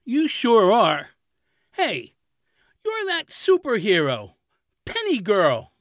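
A curious, slightly sore neighbour, talking slowly with lively pitch in a comic audio play. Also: female voice, no echo, clean studio sound. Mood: dazed, impressed. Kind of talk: comedy audio drama acting.

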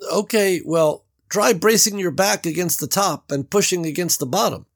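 A man's voice: high-pitched, normal speed, voice pushed up. A man voicing Beverly, a woman locked in a closet who stays patient with a hapless coworker while barely holding in her frustration. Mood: exasperated. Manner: patient but exasperated.

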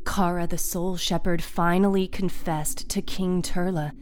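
A woman narrating a fiction podcast in a measured, suspenseful, dramatic storytelling style. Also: lowish voice, fairly even intonation, slight background noise.

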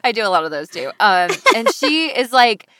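A woman voice actor with a lower voice, chatting in a lively, animated way about her craft and laughing often. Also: moderate pace, clear, resonant tone. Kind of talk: casual conversation.

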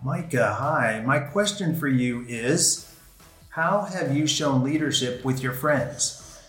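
A woman's voice: rumbling low, takes it slowly. A woman interviewing young students, encouraging and affirming as she moves from question to question. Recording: echoey room with music behind.

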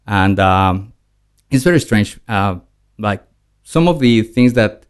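A man who grew up in Mexico, a guest in a casual interview, speaking haltingly with many restarts and fillers. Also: fairly low pitch, animated, clear, resonant tone.

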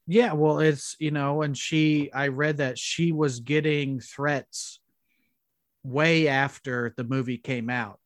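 A man co-hosting a casual podcast, chatting and reading out trivia in a halting way. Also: on the high side, fairly even intonation, no echo, clean studio sound. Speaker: podcast co-host.

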